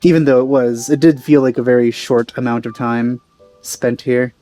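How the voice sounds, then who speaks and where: A man giving casual gameplay commentary, hesitant and rambling as he thinks out loud. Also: mid-range voice, steady tone, normal speed. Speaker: let's player. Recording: game music behind voice. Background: video game music.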